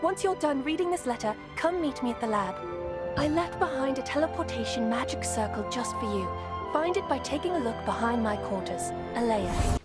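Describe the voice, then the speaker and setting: A woman's voice: fairly high pitch, animated, normal speed, natural voice. A woman voicing a condescending, deceitful demon in a wizard's guise, theatrical video game acting. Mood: haughty, persuasive. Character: female wizard, secretly a deceitful demon, haughty and manipulative. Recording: music behind the voice. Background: game music.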